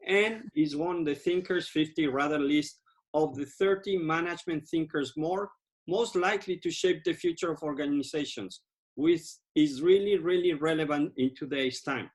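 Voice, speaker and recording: A man hosts his podcast, with a voice a bit higher than most men's. He speaks with eager enthusiasm, often doubling his words for emphasis as he introduces his guest and asks questions. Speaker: podcast host. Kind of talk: podcast interview hosting.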